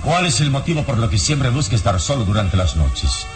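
An actor playing a grave, commanding heroic investigator in a radio drama, speaking deliberately with dramatic pauses. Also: male voice, medium pitch, big pitch swings, soft background music, close to an everyday voice. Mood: pressing.

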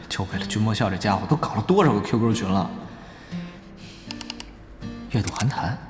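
An actor playing an excitable, exasperated young male guild leader in an online-game audio drama. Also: fairly low pitch, normal speed, lightly acted. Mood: teasing, defiant. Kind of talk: Chinese audio drama acting. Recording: roomy reverb, music bed. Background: background music.

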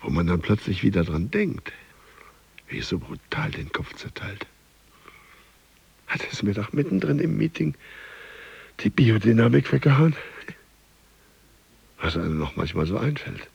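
A man playing a worn-out, embittered office worker in an audio drama, musing in a halting stream of consciousness. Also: fairly low pitch, big pitch swings, vocal fry, a little mellow, breathy edge, dull audio, full character voice. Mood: hushed.

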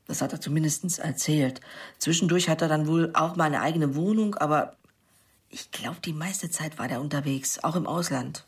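Low, very husky middle-aged mother. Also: normal speed. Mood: hesitant, pensive. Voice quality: husky, breathy, gravelly. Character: plain-spoken working-class mother, rueful about her brother. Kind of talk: naturalistic radio crime drama acting.

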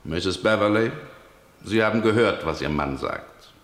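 An actor playing a stern judge in a radio play, questioning in a formal and insistent manner. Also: male voice, fairly low pitch, lively intonation, measured pace, fairly bright tone, roomy sound. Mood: formal, probing. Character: stern male judge pressing for answers. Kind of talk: German radio play acting.

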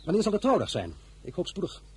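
A brisk young male general in a radio play, bold and sure of himself. His pitch swings widely as he presses his point, and faint noise sits behind him. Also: huge pitch swings, warm tone. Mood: teasing, insistent. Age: young adult. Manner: assertive, blunt, persuasive. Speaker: actor in a radio drama.

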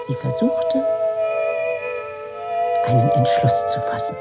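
A woman's voice: rumbling low, very animated, slow, gravelly, full character voice. A woman narrating a literary radio drama as a grave storyteller. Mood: grave, troubled. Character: grave female storyteller of a troubled girl's life. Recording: music under the voice.

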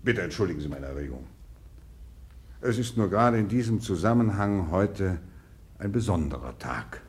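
Pompous, low-voiced general with swooping delivery. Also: male voice, lively intonation, moderate pace, darkish tone. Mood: agitated, brusque. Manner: formal, pompous, abrupt. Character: self-important, excitable Russian general, probing and condescending. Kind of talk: 1950s German radio drama acting.

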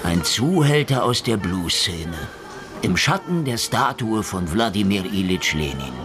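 An actor playing a cynical private detective in a noir radio play, sardonic, tough-talking and menacing. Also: male voice, fairly low pitch, big pitch swings, a little slow. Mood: grim, pressing. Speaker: lead actor and narrator. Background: music.

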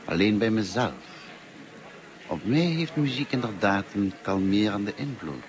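An actor in a Dutch radio play as a kindly, talkative, lonely middle-aged teacher, courteous and musing in manner. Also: male voice, lowish voice, animated, measured pace. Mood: chatty, earnest. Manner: courteous, musing, hesitant and self-effacing. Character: kindly, talkative, lonely grey-haired male French teacher. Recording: noisy old radio play recording.

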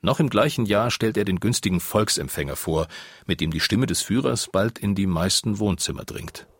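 A man's voice: fairly low pitch, lively intonation, moderate pace, breathy edge. A man narrating a scripted radio documentary.

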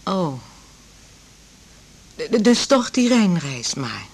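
A woman's voice: lowish voice, big pitch swings, takes it slowly, a little mellow, breathy edge, exaggerated voice. An actress playing a hesitant, deferential female patient in a Dutch radio drama, talking colloquially. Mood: disappointed, meek.

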